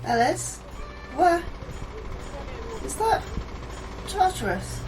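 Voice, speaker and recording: A woman's voice: very high voice, very animated, very slow, distant mic, over music, full character voice, rough recording. A woman in an audio drama playing an anxious, apologetic young scientist who stammers nervously. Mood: bewildered awe. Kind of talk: naturalistic audio drama acting. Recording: loud background noise, vehicle sounds.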